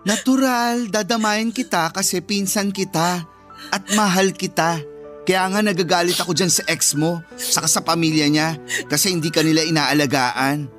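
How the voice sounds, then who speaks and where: A man's voice: high voice, moderate pace, fairly bright tone, soft background music. A man with a Filipino accent acting a sassy, fiercely loyal cousin in a radio drama, slangy and emphatic. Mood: indignant, protective.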